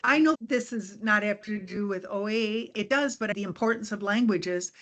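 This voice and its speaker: A woman hosting a casual podcast interview, upbeat and encouraging, with quick asides. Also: medium pitch, fairly bright tone. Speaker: podcast host.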